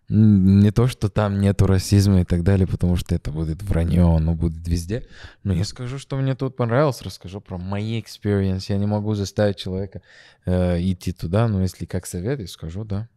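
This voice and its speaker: A man from Sudan, a guest in a casual chat, talking in a hesitant, halting way with restarts. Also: sits low, mellow.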